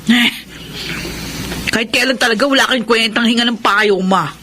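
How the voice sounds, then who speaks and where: A woman's voice: a little high, normal speed, close to an everyday voice. An actress playing a blunt, tomboyish young pregnant woman who does not want motherhood, in a Filipino radio drama. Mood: defensive. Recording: noisy radio drama recording.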